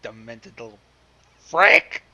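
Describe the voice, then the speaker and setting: A man hosting an online fan fiction reading, chatting casually with swearing in an excitable, exasperated way. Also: slow pace, lo-fi sound. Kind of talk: casual, profane online chat.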